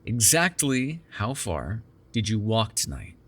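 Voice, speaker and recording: A man's voice: lowish voice, very animated, slow pace, fairly bright tone, breathy edge, exaggerated voice. A man playing a sardonic but caring captive vampire in an immersive ASMR roleplay, talking in a wry, teasing, profane conversational way. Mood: teasing, exasperated. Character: sardonic, caring immortal male vampire held captive in cuffs.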